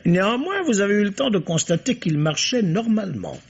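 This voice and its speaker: Police commissioner, shrewd, courteous, probing, husky timbre, radio drama delivery, dull band-limited recording. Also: male voice, sits high, very animated, fairly quick, exaggerated voice. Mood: pressing, confident. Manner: formal, probing, polite. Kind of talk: French radio mystery drama acting.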